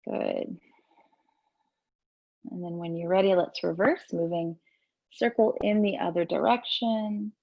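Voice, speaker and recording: A woman yoga teacher leading gentle breath work and movement in a soothing, unhurried way, with pauses between instructions. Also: on the low side, steady tone, gravelly, highs cut off.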